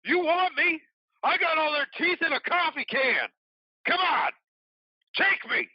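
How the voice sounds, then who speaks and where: A man's voice: very high voice, expressive, unhurried, no room sound, dull audio. A man playing a vengeful, reckless biker in an audio drama, defiant and taunting. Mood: furious taunting. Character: Tom, vengeful, reckless male biker begging to be taken.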